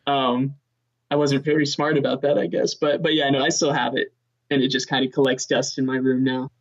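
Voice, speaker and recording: A young man, a guitarist, chatting casually as an interview guest in a relaxed, easygoing way, often saying man and dude. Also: a little fast, lo-fi sound. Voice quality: high voice. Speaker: touring session guitarist, guest.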